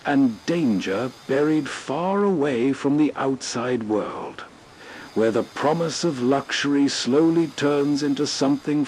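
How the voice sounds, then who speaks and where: Guy doing slow moody scripted radio host narration, measured, soft bg ambience. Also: mid-range voice, slight background noise, rough recording.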